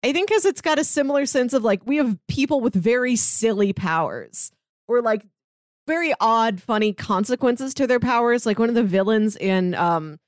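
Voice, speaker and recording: A woman's voice: on the high side, lively intonation, normal speed, no room sound, studio quality. A woman chatting casually and enthusiastically as a podcast panelist, often dropping "like" into her sentences. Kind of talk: casual review chat.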